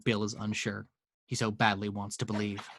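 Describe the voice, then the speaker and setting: A man's voice: fairly low pitch, level tone, moderate pace, fairly bright tone, a little hiss or hum. A man reading action descriptions as narrator in a dramatic screenplay table read. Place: at home.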